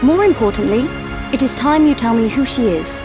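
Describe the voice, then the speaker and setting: A woman's voice: a little high, animated, a little fast, close to an everyday voice. A woman acting a proud but concerned young noblewoman in a video game, speaking formally and precisely with much expression. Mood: indignant, demanding. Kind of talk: dramatic video game dialogue. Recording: music behind voice.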